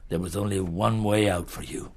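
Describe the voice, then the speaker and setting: An actor in a radio drama playing a shrewd, relentless middle-aged detective chief, questioning deliberately with pauses in a husky, gravelly voice. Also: male voice, sits low, fairly even intonation. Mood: accusing, measured.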